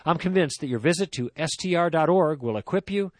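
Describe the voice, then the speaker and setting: A man's voice: animated, a little fast, dry recording. Man reading an earnest radio ad. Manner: earnest, persuasive pitch. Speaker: radio show host. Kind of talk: scripted radio ad read.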